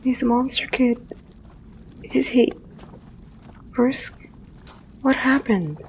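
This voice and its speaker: A woman's voice: a little high, very slow, a little hiss or hum, rough recording. A woman playing a timid, anxious ghost in an audio drama, hesitant and worried. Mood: worried, confused. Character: Napstablook, timid anxious ghost, male or genderless.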